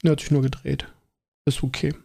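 A man's voice: mid-range voice, little pitch movement, brisk, creaky, mellow. A man, hosting a casual solo podcast in a rambling, colloquial way and often interrupting himself. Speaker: podcast host; school teacher.

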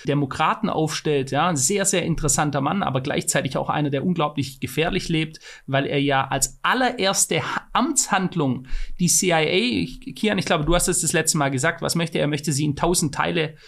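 A man co-hosting a casual podcast, with a gravelly, fry-laden voice. He argues emphatically, and his pitch jumps up whenever he drives a point home. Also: moderate pace, a bit bright. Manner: emphatic, argumentative, rising pitch when stressing points. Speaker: podcast co-host.

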